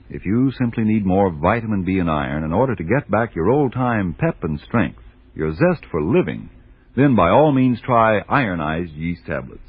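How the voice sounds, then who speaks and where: Male American announcer, husky, swooping emphatic sales pitch, 1940s radio, narrow tinny recording. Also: dry recording.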